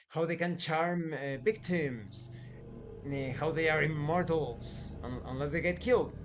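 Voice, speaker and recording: A man giving an informal spoken review as a show host, explaining in a halting way with conversational asides. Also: on the high side. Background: background music.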